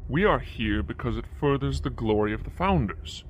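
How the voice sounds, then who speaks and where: An earnest alien zealot soldier played by a man, mid-pitched and formal. He speaks in dutiful, literal statements in a sci-fi audio drama, with faint noise behind. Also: expressive, moderate pace, natural voice. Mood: dutiful certainty. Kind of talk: amateur audio drama acting.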